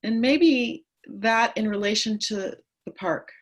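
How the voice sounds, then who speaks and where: A woman's voice: on the high side, slow pace. A woman hosting an online interview, asking encouraging follow-up questions.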